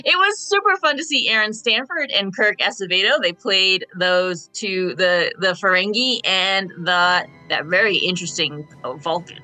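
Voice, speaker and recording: A woman's voice: mid-range voice, normal speed, few pauses, bright, forceful tone, no room sound, faint music behind, poor audio. A woman chatting casually as a panelist in a radio fan discussion.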